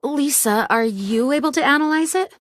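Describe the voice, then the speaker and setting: A woman voicing a dignified, earnest young knight commander in a video game, speaking in a formal, measured way. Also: high-pitched, lively intonation, slight background noise. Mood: serious. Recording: music behind the voice.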